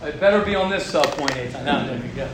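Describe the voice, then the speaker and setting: A man teaching a group of young men, talking forcefully and repeating his points for emphasis. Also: mid-range voice, animated, fast, over a noisy crowd. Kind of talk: emphatic religious talk to a group. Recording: very roomy echo with faint background hum.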